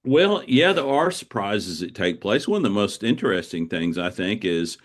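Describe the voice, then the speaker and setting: Very deep-voiced man in an interview. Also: very animated, normal speed. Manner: reflective, anecdotal, often repeats words as he goes. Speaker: author guest. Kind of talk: conversational interview answers.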